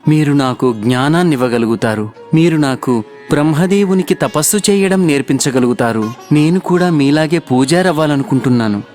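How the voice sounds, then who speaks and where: A man's voice: a little high, expressive, moderate pace, a little mellow, lightly acted. A man playing an earnest, selfless, devout villager in a children's cartoon story, with music under him. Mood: respectful, eager.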